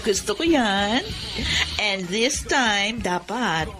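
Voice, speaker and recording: Slow, flirtatious Filipina bar hostess. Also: female voice, mid-range voice, expressive, over loud music. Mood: flirtatious, tipsy. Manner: coy, drawling, mixes in English phrases. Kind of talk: Filipino radio drama acting.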